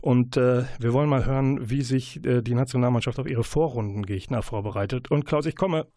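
A man's voice: mid-range voice, fairly quick, dark tone. A man hosting a radio book show in a chatty, playful magazine style, addressing the listeners directly. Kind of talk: chatty, lightly humorous magazine-show presenting. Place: radio studio.

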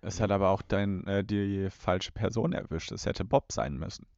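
A man with a deep voice and strong vocal fry comments casually as a podcast co-host. He is recorded close and dry, with a slightly dull sound. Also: normal speed, darkish tone, no room sound. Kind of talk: casual chat commenting on an audio drama.

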